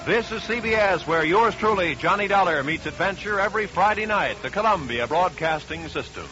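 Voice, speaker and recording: A man's voice: high voice, lively intonation, brisk. An American man reading scripted announcements in the style of a 1950s network radio announcer. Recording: muffled vintage radio broadcast, music behind. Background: music.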